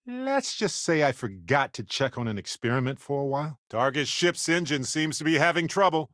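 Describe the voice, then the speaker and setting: A man's voice: a little high, big pitch swings, moderate pace, fairly bright tone, no room sound, clean studio sound. An actor playing a genial, witty male space explorer and scientist in a video game, talkative and wry with a theatrical flair. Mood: urgent. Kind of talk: video game character voice lines.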